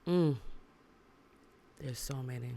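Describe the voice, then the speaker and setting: A woman singer, a guest on a podcast, talking in a relaxed, chatty way and laughing easily. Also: very deep voice, takes it slowly, creaky, slight background noise. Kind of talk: casual interview chat.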